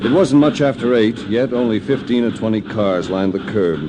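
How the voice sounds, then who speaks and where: An actor playing a cultured, cool-headed investigator, narrating in a measured, wry 1940s radio detective style. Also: male voice, lowish voice, lightly acted. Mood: hard-boiled narration. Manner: hard-boiled, measured, wry. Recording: noisy old radio recording with clicks.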